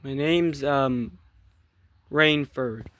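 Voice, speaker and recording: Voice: mid-range voice, extremely slow, fairly bright tone, no room sound. A meek but stubborn cartoon reindeer lad, acted out by an amateur, in a close, dry recording. He stammers and grumbles his way through a comic skit in a hesitant, sullen voice.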